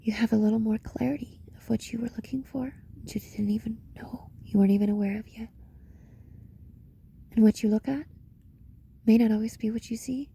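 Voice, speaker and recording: A woman leading a guided meditation in a reflective monologue, speaking in short, paused phrases with a breathy voice that often slips into a whisper. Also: a little high, steady tone, dark tone. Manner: slow, pausing between short phrases.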